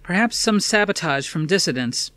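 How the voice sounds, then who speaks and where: Man voicing a high-pitched, urgent female news reporter. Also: animated, moderate pace, pushed and bright. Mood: uneasy, probing. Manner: urgent, breathless live-report delivery. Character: panicked female TV news reporter amid an attack. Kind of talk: dramatic audiobook character reading.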